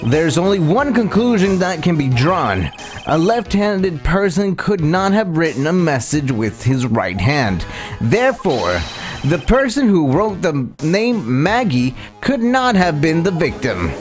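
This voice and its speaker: A man's voice: on the high side, very animated, a little slow, very echoey, big-room sound, music in the background, voice pushed up. A man voicing a determined, modest young defense lawyer from a video game, easily startled. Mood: confident. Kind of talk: dramatic reading of a video game.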